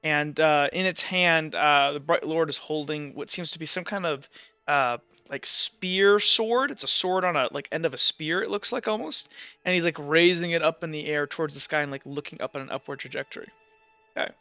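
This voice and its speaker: A man's voice: on the high side, normal speed, pushed and bright, smooth, no echo, highs cut off. A man who narrates as game master, describing scenes in a loose, rambling way full of "like".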